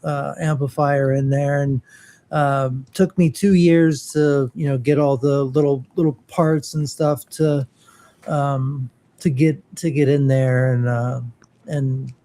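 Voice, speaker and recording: A man chatting casually on a podcast, talking in a halting way with lots of pauses and restarts. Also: medium pitch, fairly even intonation, warm tone. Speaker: co-host.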